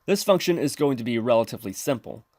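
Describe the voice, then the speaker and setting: A man presents a tutorial in a methodical, step-by-step way. His voice sits at a middling pitch and is recorded close and dry, with very little room sound. The delivery is that of scripted instructional narration. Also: animated, fast talker, a little mellow, dry recording. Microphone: close mic, screen-recording voice-over.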